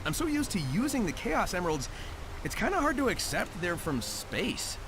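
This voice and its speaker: A man's voice: high voice, sing-song, moderate pace, background noise. A man voicing a cocky, upbeat young hedgehog hero in a video game, with an American accent and a breezy, casual delivery. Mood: curious, casual. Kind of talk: video game cutscene voice acting.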